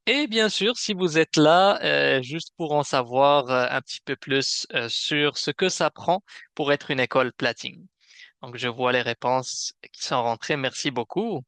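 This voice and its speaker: A man hosting a webinar with a semi-scripted presentation, explaining in a measured way with some hesitation. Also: medium pitch, fairly bright tone, smooth, no echo. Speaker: webinar host and program manager.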